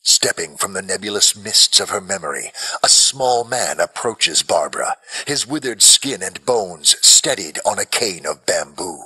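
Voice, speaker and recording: A man's voice: sits low, animated, unhurried, bright, forceful tone, husky, rough recording. A man reading scripted, dramatic narration as the narrator of an audio drama. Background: music.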